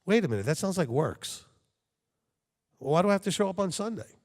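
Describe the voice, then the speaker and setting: A man's voice: high voice, sing-song, a little fast, big-room sound. A man preaching a sermon to his congregation, talking conversationally and checking in with frequent rhetorical questions. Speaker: congregation leader.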